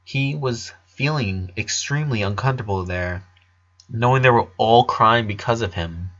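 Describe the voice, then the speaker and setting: A man's voice: fairly low pitch, normal speed, fairly bright tone, highs cut off. A man reading a scripted story aloud as its narrator.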